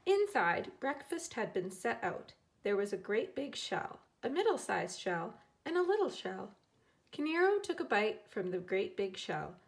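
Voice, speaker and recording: A woman teacher reading a children's storybook aloud in an expressive way, acting out the characters. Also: medium pitch, measured pace, creaky, a little hiss or hum. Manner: expressive, dramatizing characters' lines.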